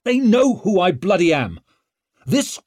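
A man performs a hot-headed young lord for an audiobook. He is blunt and declamatory, raised well above a normal speaking pitch, with a strained edge that breaks as he pushes. The delivery is slow and swooping. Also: big pitch swings, darkish tone, dry recording, studio quality, heavily acted. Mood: indignant, shouting. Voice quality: broken, strained when raised. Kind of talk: audiobook character acting.

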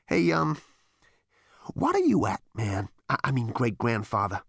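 A brash young man, as a woman reads him out, rants in emphatic, slangy bursts. His voice swings lively up and down. The sound is dry and close. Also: extremely low pitch, a little slow, gravelly, husky, dry recording, heavily acted. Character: brash, cocky young man, ex-president, exasperated. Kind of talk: audiobook character voice.

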